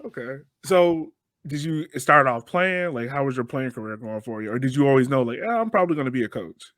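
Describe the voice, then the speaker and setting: A man's voice: mid-range voice, animated, fairly quick, a bit bright, no echo. An American man hosting a podcast in a casual interview style. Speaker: podcast host.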